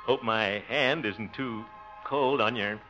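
A man's voice: mid-range voice, pushed and bright, soft background music. An American man acting in a 1950s radio comedy as a bashful, flustered middle-aged bachelor who stammers and chuckles, on an old muffled recording. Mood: bashful, giddy. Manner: stammering, chuckling bluster, drawn-out words.

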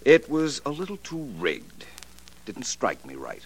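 A calm, cerebral detective. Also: male voice, mid-range voice, husky, exaggerated voice. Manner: measured, explanatory. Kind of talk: 1940s radio acting.

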